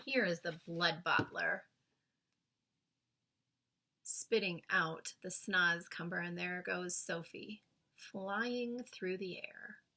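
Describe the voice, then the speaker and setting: A woman reading a children's story aloud as expressive scripted narration. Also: lowish voice, slow pace, long pauses. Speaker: storybook reader.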